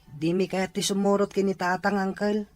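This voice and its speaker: A man's voice: sits high, little pitch movement, normal speed, natural voice. A man playing a respectful, earnest school-age nephew who is wary of his absent father, in an Ilocano radio drama. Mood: anxious.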